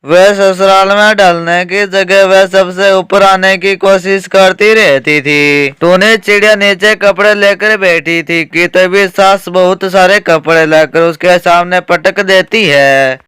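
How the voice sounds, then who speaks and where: A woman's voice: fairly low pitch, steady tone, takes it slowly, fairly bright tone, clean tone, dry recording. A woman narrating a scripted children's cartoon story.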